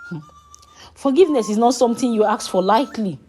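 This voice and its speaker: A woman's voice: fairly high pitch, a little fast, faint music behind, voice pushed up, close to an everyday voice. Woman playing a proud, guarded wronged wife. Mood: firm. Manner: steady, cold, firm.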